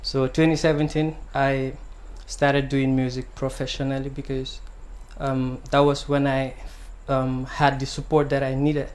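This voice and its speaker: Soft-spoken young Gambian man, flat voice. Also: mid-range voice, unhurried, hardly any pauses. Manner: soft-spoken, hesitant with many ums. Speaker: guest singer-songwriter. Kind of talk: casual interview answers.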